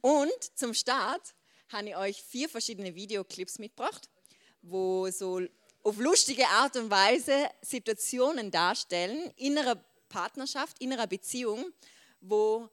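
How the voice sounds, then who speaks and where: A woman's voice: a little high, lively intonation, measured pace, fairly bright tone. A woman in her twenties with a Swiss German accent, speaking informally to a group in a chatty way and dropping in English words. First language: Swiss German dialect. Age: 20s.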